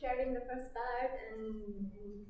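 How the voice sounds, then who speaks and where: A woman's voice: fairly high pitch, fairly even intonation, slow, a little mellow, highs cut off, slight background noise. Spanish-accented woman in an echoey hall. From Spain. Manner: thoughtful, searching for words, restarts phrases. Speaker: panelist, co-author. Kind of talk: panel discussion remarks. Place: lecture hall. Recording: echoey hall, mic at a distance.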